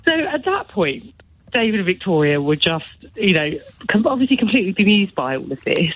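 Husky woman gossiping on radio. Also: mid-range voice, very animated, fairly quick, dry recording, muffled recording. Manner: chatty, gossipy, many restarts. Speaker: showbiz editor guest. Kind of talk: casual radio chat.

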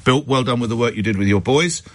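A man's voice: mid-range voice, fast, no echo. A man hosting a phone-in radio show, pressing callers with pointed questions and arguing his case. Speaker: radio talk show host. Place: radio studio. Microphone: studio microphone.

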